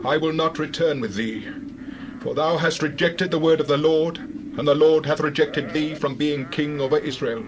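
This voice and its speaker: Stern biblical prophet, played by a man, solemn declaimer, slightly high male voice, faint background noise. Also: normal speed, lightly acted. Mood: stern, rebuking. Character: stern, righteous prophet rebuking a king. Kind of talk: dramatized scripture reading.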